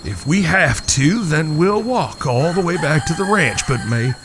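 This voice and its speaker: A man playing an earnest, courteous cowboy suitor in a western audio drama, with halting, old-fashioned, courtly phrasing. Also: a little high, very animated, fairly bright tone, a little hiss or hum, full character voice. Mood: tense, urgent.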